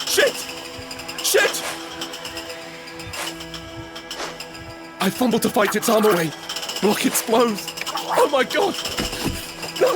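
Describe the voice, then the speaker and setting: An actor playing a frightened survivor in an audio drama, narrating urgently in the first person and present tense in fragmented phrases. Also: male voice, sits high, exaggerated voice. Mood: panicked. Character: desperate, frightened male survivor of a monster apocalypse. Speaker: narrator and lead character.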